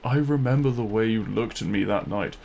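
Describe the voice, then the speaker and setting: A man's voice: lowish voice, a little fast, gravelly, darkish tone, a little hiss or hum. A man playing a smug, possessive demon king in an audio roleplay, speaking to the listener in a silky, theatrical, courtly yet menacing manner. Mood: pleading, ardent.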